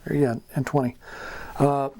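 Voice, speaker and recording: A man's voice: medium pitch, little pitch movement, normal speed, creaky, mellow. An American man giving an informal explanatory talk as a hobbyist teacher. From United States. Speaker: amateur radio hobbyist teaching beginners.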